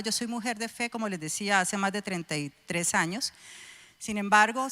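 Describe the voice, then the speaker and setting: Woman, middle-aged, Colombian accent, mid-range pitch, public testimony to a congregation, emphatic, deliberate phrasing. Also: bright, forceful tone. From Cúcuta, Colombia. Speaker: guest speaker, lawyer addressing a church. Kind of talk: personal testimony speech to a congregation.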